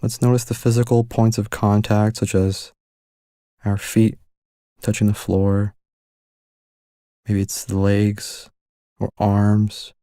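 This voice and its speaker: A man leading a guided meditation, giving calm, gentle instructions with unhurried pauses. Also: lowish voice, clear, resonant tone, no echo, clean studio sound.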